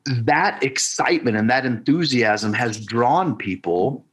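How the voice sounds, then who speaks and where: A man's voice: medium pitch, animated, unhurried, a bit bright, slightly rough, rough recording. A man talking earnestly as a podcast guest in casual conversation, often restarting his sentences.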